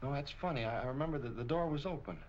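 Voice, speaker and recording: An actor playing an agitated, stammering young murder suspect in a 1940s melodrama film. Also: male voice, medium pitch, rapid-fire, close to an everyday voice. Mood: shaken, halting. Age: young adult. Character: rattled, defensive young male murder suspect. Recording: muffled, hissy 1940 film soundtrack.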